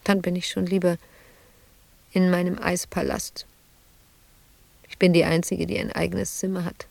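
An actress playing an embittered, lonely middle-aged woman sculptor in a radio drama monologue, husky and intimate, often slipping into a whisper. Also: on the low side, level tone, moderate pace, a little mellow, no echo. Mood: bleak, agitated. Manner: brooding, intimate, shifting between whisper and outburst.

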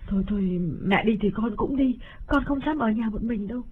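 A man voicing a frightened, grieving young village girl in a high falsetto, with a tearful, pleading manner. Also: animated, rapid-fire, a little hiss or hum. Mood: scared. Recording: lo-fi with music behind.

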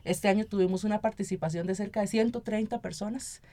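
A woman with a Costa Rican Spanish accent and a medium-pitched voice speaks as an interview guest. She explains in a conversational way and often closes her phrases with a little checking question. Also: steady tone, fast, mellow. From Costa Rica. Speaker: interview guest, local councillor and activist.

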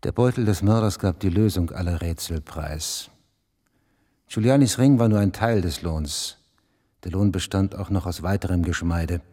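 Tiefer, dunkler Amtsmann im Hall. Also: male voice, low voice, warm tone. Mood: smug. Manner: measured, formal, evasive, pompous. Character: guarded, smug magistrate's official, formal and evasive. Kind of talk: German radio play acting. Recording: long reverberant hall.

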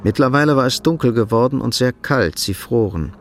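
An actor reading scripted literary narration as the sober, detached storyteller of a radio play. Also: male voice, medium pitch, expressive, a little fast, a little hiss or hum, lightly acted. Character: sober, detached storyteller of a Cold War thriller.

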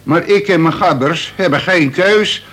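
An actor playing a wily, mock-deferential veteran convict in a Dutch radio play, reciting pedantically with bits of prison slang. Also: male voice, on the high side, a little slow, fairly bright tone, husky. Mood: insistent, polite.

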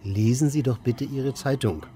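An actor as a deadpan, sardonic idle man. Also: mid-range voice, normal speed, slight background noise, close to an everyday voice. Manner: laconic, deadpan, provocative. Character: aimless idle man, sardonic, stubborn department-store loiterer. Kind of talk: German radio play dialogue and first-person narration.

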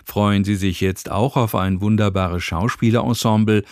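A man's voice: on the low side, steady tone, normal speed, darkish tone, no room sound, clean studio sound. A man working as a radio announcer, reading a scripted programme introduction.

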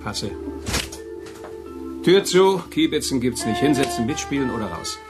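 A man playing a gruff, down-on-his-luck card player in a radio play, curt and colloquial. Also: mid-range voice, expressive, unhurried, over music, natural voice. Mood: brusque. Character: grumpy, down-on-his-luck male card gambler. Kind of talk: German radio play acting.

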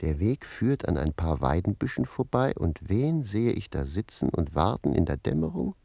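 Deep-voiced, sly storytelling manservant. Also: male voice, sing-song, gravelly, mellow, dry recording, heavily acted. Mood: narrating. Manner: mock-innocent, emphatic, deliberately repetitive storytelling. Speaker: actor. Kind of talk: German radio play acting, storytelling in dialogue.

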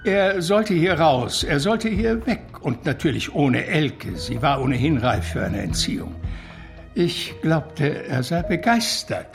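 Creaky, expressive cultured man confessing. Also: a little high, a little slow, soft background music, exaggerated voice. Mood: earnest, agitated. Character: cultured art-world doctor, anguished, self-justifying witness. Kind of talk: German radio drama acting.

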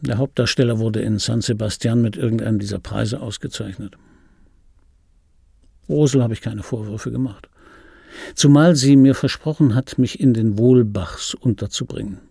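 A middle-aged man with a mellow, gravelly voice recorded close and dry. He muses aloud as a wry, world-weary dubbing actor in a radio play. His delivery is laconic and intimate, like private reminiscing. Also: mid-range voice, no room sound. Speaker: radio play actor, first-person narrator.

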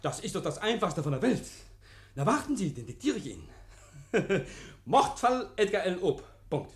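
Überdrehter, nervöser Mann, lebhafte Stimmführung. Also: male voice, high-pitched, sing-song, normal speed. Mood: manically cheerful. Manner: effusive, stammering, repeats himself, chuckling. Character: nervous, over-eager male murder suspect hosting police. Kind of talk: 1950s German radio play acting.

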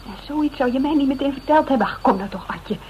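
A woman's voice: sits high, animated, fast. An actress playing a direct, insistent woman who confronts a friend with familiar doubt in a Dutch radio drama. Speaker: actor. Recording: dull, noisy old radio recording.

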